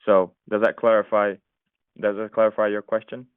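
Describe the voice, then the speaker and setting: A man from New York works a cold call, polite and steering toward a meeting. His voice sits low and clear, dry and close, squeezed through a thin phone line. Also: little pitch movement, moderate pace, no echo. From New York, USA. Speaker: cold caller. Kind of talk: recorded sales phone call. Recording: tinny phone-line recording.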